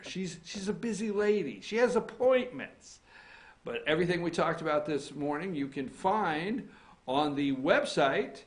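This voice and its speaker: A man hosting a casual morning talk show, with a voice pitched a little higher than most men's. He teases his co-host and cuts in on her, then turns to the viewers to point them where to look. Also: animated, unhurried. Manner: teasing, banters and interrupts.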